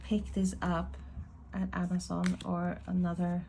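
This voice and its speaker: A woman with a Swedish-tinged accent talks slowly through her oracle decks, taking her time. Her voice sits low and mellow, with a gravelly fry. A faint hiss runs under her casual, thoughtful chat. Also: completely flat delivery. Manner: slow, thoughtful, meandering talk. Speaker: tarot deck reviewer. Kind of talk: casual deck review chat.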